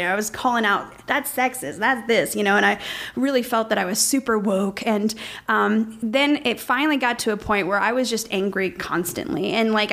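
A woman's voice: medium pitch, fairly bright tone. A middle-aged woman chatting on a podcast, telling a personal story in an earnest, rambling way with many restarts and fillers. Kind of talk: casual conversational storytelling.

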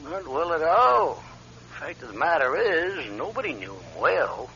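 A man's voice: on the high side, big pitch swings, measured pace, pushed and bright, heavily acted. An actor playing a folksy, cagey but courteous local man in a radio drama, talking in clipped phrases. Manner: clipped, folksy, drops sentence subjects. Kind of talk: classic radio drama acting. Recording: dull, band-limited radio sound.

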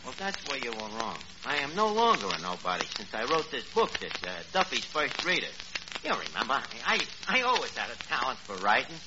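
An actor playing a brash, bumbling New York tavern manager in a 1940s radio comedy, full of boasts and mangled fancy words. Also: male voice, mid-range voice, huge pitch swings, a little fast. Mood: boastful. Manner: wisecracking, bumbling malapropisms. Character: brash, boastful Brooklyn tavern manager wooing an old flame. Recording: noisy, muffled old radio broadcast.

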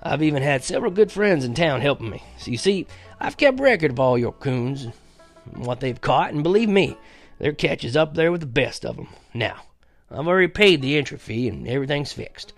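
An old country grandfather from a storybook, acted out by a man, kindly and easily excited. He talks in a folksy, emphatic way, often lifting well above a plain speaking pitch. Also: fairly quick, soft background music. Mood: earnest, eager.

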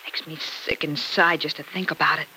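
A woman's voice: low voice, steady tone, moderate pace, pushed and bright. An actress playing a sarcastic, bitter young woman with slangy speech in an old-time radio soap. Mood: remorseful. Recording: noisy vintage radio recording.

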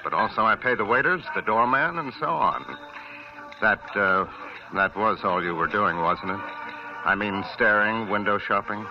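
A man's voice: fairly low pitch, normal speed, music in the background, lightly acted. Man playing a sly chuckling club boss. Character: sly male nightclub owner, genial menace. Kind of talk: 1940s radio acting. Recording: dull old radio recording.

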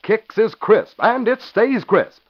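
A man's voice: high-pitched, animated, slow. A man announcing and narrating a 1940s radio show, emphatic and punchy in delivery. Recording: dull lo-fi old radio recording.

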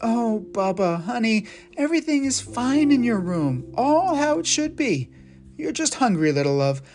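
A woman playing a gentle storybook mom. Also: sits high, expressive, normal speed, faint music behind, pitched above the performer's own voice. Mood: coaxing. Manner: soft, coaxing, apologetic. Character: gentle, patient young mother. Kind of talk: storybook character voice.

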